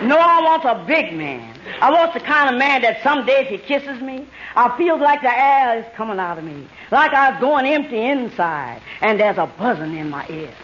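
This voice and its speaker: A woman's voice: fairly high pitch, sing-song, normal speed, hardly any pauses, a bit bright. An actress playing a bold, demanding woman who wants to rent a tall escort, on a vintage radio comedy. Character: bold, demanding woman wanting a big man. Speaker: client renting an escort. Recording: muffled old radio broadcast, faint hiss.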